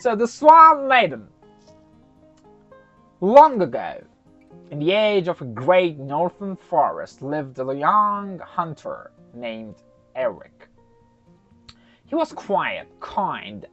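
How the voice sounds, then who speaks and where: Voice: mid-range voice, fairly bright tone. A storyteller hosting a folktale for children, narrating theatrically with chatty, casual asides. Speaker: storyteller and host. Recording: slightly dull, lo-fi microphone sound.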